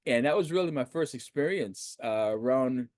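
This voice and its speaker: Talkative middle-aged American podcast host. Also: male voice, mid-range voice, normal speed, dry recording, studio quality. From United States. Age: 50s. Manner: animated, conversational, lots of fillers and restarts. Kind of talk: casual interview.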